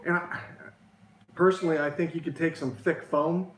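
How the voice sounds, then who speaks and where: A man's voice: a little high, fairly even intonation, moderate pace. A man co-hosting a live show, talking in a rambling, hesitant way with many fillers. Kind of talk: casual live stream chat. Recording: echoey room, faint noise.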